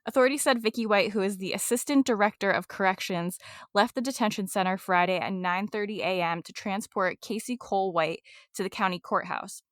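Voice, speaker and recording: A woman's voice: medium pitch, a little fast, no room sound. A woman co-hosting a true crime podcast in a loose, chatty way, full of fillers and laughs. She sounds a little congested. Her voice sits close and dry on the microphone with no room around it. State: congested from allergies. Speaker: podcast co-host.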